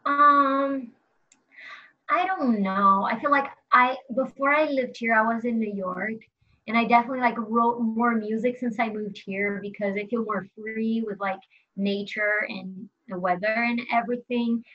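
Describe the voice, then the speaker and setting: Chatty young Brazilian woman, slightly higher voice. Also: steady tone, measured pace. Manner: chatty, frequent "like" fillers, laughs while talking. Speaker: musician guest. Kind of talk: casual interview chat. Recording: slightly dull lo-fi sound.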